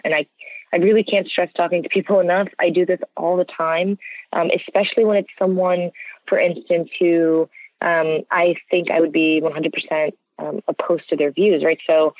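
American interviewee with creaky voice, phone line. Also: medium pitch, no echo. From United States. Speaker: interview guest. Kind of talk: conversational interview answers. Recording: narrow, tinny phone-line sound.

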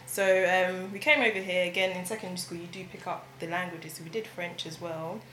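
A woman from Ghana in casual conversation, explaining in a relaxed way with fillers and hedges. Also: on the low side, fairly quick, roomy sound, slight background noise. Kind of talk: casual chat.

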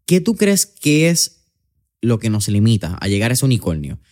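Podcast host asking questions. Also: male voice, on the low side, sing-song, normal speed, a little mellow. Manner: conversational, thinks aloud while framing questions. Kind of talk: casual interview conversation.

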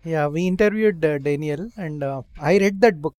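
A man's voice: on the high side, normal speed, no room sound, studio quality. A male interviewer asking conversational questions, enthusiastic, with frequent filler sounds. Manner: warm, enthusiastic, with many filler "uh"s.

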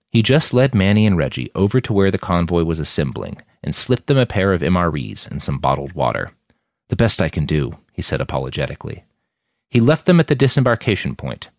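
A man's voice: low-pitched, no echo, clean studio sound. A man reading a fiction story aloud in an audiobook style of narration. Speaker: author narrating own fiction podcast.

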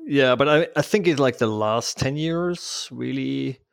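A man's voice: mid-range voice, unhurried, creaky, no echo, studio quality. A man in his fifties from Switzerland with a Swiss accent, a guest travel photographer chatting casually and self-deprecatingly. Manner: self-deprecating, chuckling, with restarts. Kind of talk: casual interview chat.

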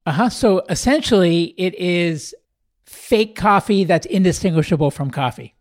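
A man's voice: high-pitched, lively intonation, normal speed, no room sound, studio quality. A man hosting a podcast, introducing the show and interviewing a guest.

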